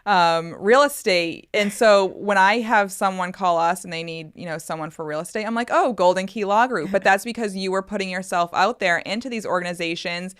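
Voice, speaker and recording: A woman's voice: medium pitch, fairly quick, hardly any pauses, a bit bright, clear, resonant tone, dry recording, studio quality. A woman co-hosting a podcast, interviewing a guest in a conversational way. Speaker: podcast co-host.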